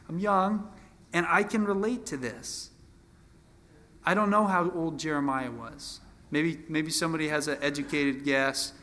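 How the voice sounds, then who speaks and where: Man, twenties, higher-than-average pitch, informal preacher, Bible teaching, conversational, self-deprecating, halting restarts. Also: sharp tone. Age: 20s. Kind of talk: informal Bible teaching sermon with scripture reading.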